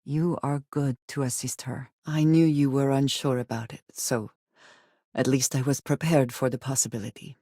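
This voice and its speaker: An actress voicing a guarded, earnest female spacefarer in a video game, grave and loyal, with formal, measured phrasing. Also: a bass voice, fairly even intonation, mellow, no echo, studio quality. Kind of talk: video game character voice lines.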